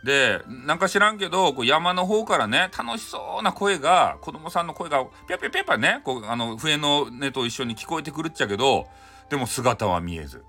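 Male storyteller, Hakata accent, bright animated voice, chatty folksy bedtime-tale delivery over clear background music. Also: on the high side, a little fast. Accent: Hakata (Fukuoka) Japanese. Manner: chatty, folksy, frequent asides. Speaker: storyteller, host of audio show. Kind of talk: casual improvised folk tale retelling for bedtime.

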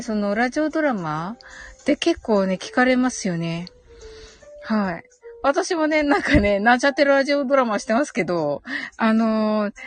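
A giggly woman hosting a casual live audio stream, chatting and reading out listener comments with frequent short acknowledgements. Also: sits high. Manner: giggly, frequent laughter while talking.

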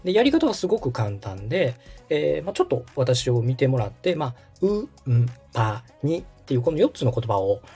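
Animated, husky-voiced man coaching. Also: medium pitch, fairly quick, hardly any pauses, soft background music. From Osaka, Japan. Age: 30s. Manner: encouraging, polite. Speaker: podcast host and radio director coaching vocal warm-ups. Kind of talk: conversational step-by-step instruction.

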